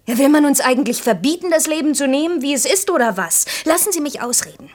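A woman's voice: high voice, lively intonation, fast talker. A young actress playing a proud, outspoken young working woman in an intimate German radio drama, earnest and reproachful. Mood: indignant. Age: young adult. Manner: earnest, reproachful, shifting between insistent outbursts and hushed confiding.

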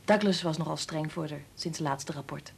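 An actress playing a brisk, capable statistician and mother in a Dutch radio play, firm and gently teasing. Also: low voice, gravelly, a little hiss or hum. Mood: motherly.

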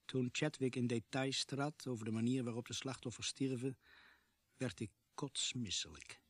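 An actor playing the sober narrator of a radio crime thriller, telling the story in the first person in a measured way. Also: male voice, medium pitch, steady tone, fairly bright tone, no echo, natural voice. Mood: grave, recounting.

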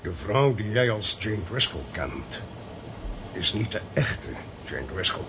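Radio drama authoritative Dutch male agent, emphatic explainer, creaky voice, very animated intonation. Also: on the low side, slow pace, background noise, heavily acted. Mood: grave. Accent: Netherlands Dutch. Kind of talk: Dutch radio play acting.

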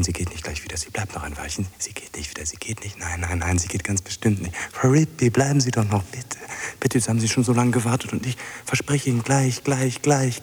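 Ein Schauspieler spielt in einem deutschen Hörspiel einen einsamen, obsessiven, ängstlich flehenden Mann, heiser und oft flüsternd, der in kurzen Wiederholungen mit sich selbst redet. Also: male voice, lowish voice, darkish tone, a little hiss or hum, close to an everyday voice. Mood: anxious, agitated, then pleading. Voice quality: husky, often dropping into whispers. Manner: muttering to himself in short repeated phrases. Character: lonely, obsessive man, anxious and pleading. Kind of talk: German radio drama acting.

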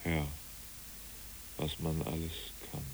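A brooding killer in a radio drama, terse and grave. The voice is very low and full of gravel, with slow, deliberate phrasing. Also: male voice, completely flat delivery, a little mellow, full character voice, low-quality recording. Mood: grim, brooding. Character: brooding, blunt male killer who slew his comrade. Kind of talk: literary radio play acting. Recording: hissy old radio recording.

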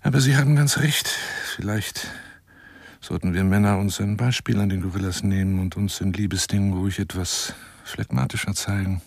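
An actor playing a garrulous, wooing lion hunter in a radio play monologue, theatrical and coaxing, rambling between exclamations and whispers in a husky, gravelly voice. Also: male voice, low-pitched, fairly even intonation, moderate pace, dry recording. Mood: chatty, teasing. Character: garrulous, self-styled lion hunter wooing a woman by telephone.

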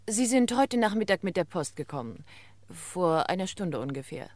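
Detached, unhappy widow, close and dry. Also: female voice, lowish voice, very animated, moderate pace, darkish tone, no echo. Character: unhappy, detached widow, former actress, with suppressed bitterness. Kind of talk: German radio crime play acting.

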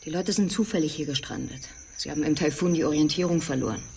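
An actress playing a quiet, placating island researcher, restrained and soft-spoken, in a radio drama. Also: female voice, deep voice, quick pace, gravelly, a little hiss or hum. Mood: matter-of-fact. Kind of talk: German radio drama acting. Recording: faint background ambience, animal sounds.

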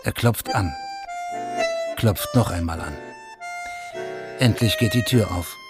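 A man's voice: on the low side, slow, over loud music. A man narrating a scripted radio drama in a sober, factual manner, in short plain statements.

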